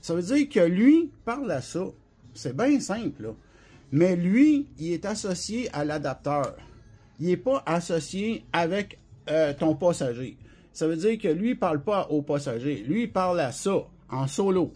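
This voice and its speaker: A man with a Quebec French accent and a husky voice gives an informal, colloquial product demonstration, explaining in a rambling, hands-on way. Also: high voice, sing-song, a little slow, mellow. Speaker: CB radio specialist and seller presenting products.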